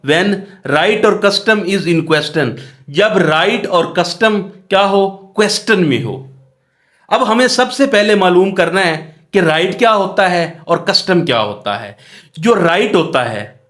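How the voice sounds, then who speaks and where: A man's voice: sits high, a bit bright. A man giving a law lesson, reading out legal text and explaining it methodically with repeated phrases. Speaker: law lecturer.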